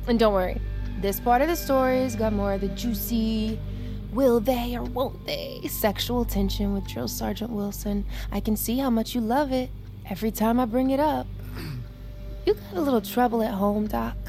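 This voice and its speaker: An actress playing a defiant, sarcastic, foul-mouthed young army recruit in an audio drama, blunt and mocking. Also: female voice, fairly high pitch, moderate pace, close to an everyday voice. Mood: mocking, teasing. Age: young adult. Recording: music bed under the dialogue.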